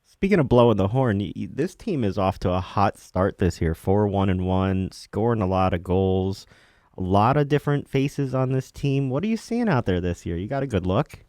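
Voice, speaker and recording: A man's voice: lowish voice, big pitch swings, moderate pace, a little mellow, no room sound, studio quality. A man hosting a casual sports interview, putting questions to a guest in a conversational way. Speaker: sports show host.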